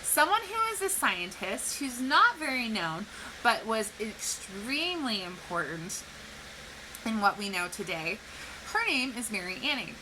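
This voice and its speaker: A woman speaking like a teacher to young children, explaining things clearly and posing questions in an educational read-aloud. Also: on the high side, sing-song, measured pace, noisy background. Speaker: teacher reading a picture book to children.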